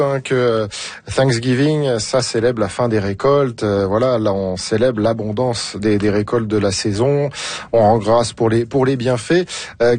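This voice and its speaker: A man's voice: mid-range voice, a little mellow, dry recording. A man hosting a conversational radio panel, putting questions to guests. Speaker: radio host.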